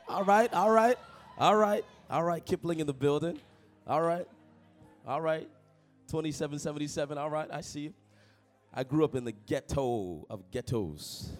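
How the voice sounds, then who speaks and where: Man, young preacher, animated storyteller, a bit high-pitched, faint music behind. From Toronto, Canada. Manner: animated, jokey, calls out to the congregation. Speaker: pastor. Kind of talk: sermon with personal storytelling.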